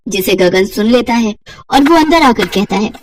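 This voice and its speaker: High-pitched woman narrating a moral story. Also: lively intonation, moderate pace.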